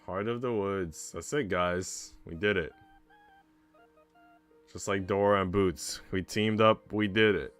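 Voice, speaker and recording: Guy streamer rambling casually, kinda low voice, sweary, bit of music in the back. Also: steady tone, slow, fairly bright tone, smooth. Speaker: game streamer. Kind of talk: casual livestream chat and commentary.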